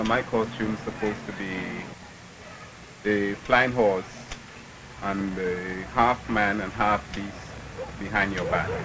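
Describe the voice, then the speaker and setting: Man building carnival costumes, low voice, earnest and proud, outdoor noise around him. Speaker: costume maker. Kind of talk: casual interview. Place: backyard outdoors. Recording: outdoor field recording with noise.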